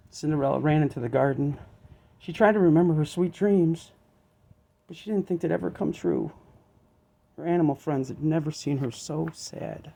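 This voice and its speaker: A man reading a children's story aloud as an expressive narrator. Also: mid-range voice, creaky, breathy edge.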